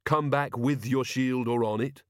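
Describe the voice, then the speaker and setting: A female watch officer, voiced by a male audiobook reader. She is terse and dry, with a clipped, deadpan delivery. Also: medium pitch, a little slow, no echo, natural voice.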